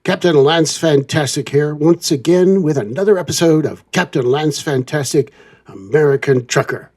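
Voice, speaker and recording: A man's voice: a little high, normal speed, a little mellow. A man hosting a semi-scripted trucking show, presenting to listeners in a theatrical announcer manner. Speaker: host of a trucking show playing old-time radio.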